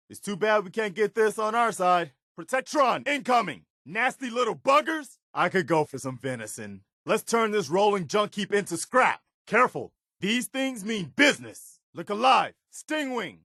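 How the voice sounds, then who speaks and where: A man's voice: high-pitched, pushed and bright, dry recording, clean studio sound, full character voice. A man playing an earnest, principled militia soldier companion in a video game, loyal and battle-weary, speaking direct military phrasing in scripted dialogue.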